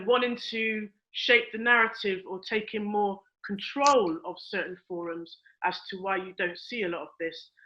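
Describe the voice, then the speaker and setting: A woman speaking off the cuff as a panelist, in a measured, opinionated and emphatic way. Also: mid-range voice, dull audio.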